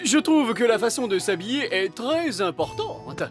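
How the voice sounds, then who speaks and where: A woman's voice: fairly high pitch, very animated, normal speed, faint music behind. A woman voicing a snobbish, polite royal lady in a children's cartoon, affected and hesitant. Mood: condescending. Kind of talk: French-dubbed children's cartoon acting.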